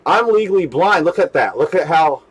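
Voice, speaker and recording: Rambling higher-voiced man of forty. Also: expressive, brisk, slightly rough. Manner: rambling, repeats himself. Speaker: video host. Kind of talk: casual rambling chat.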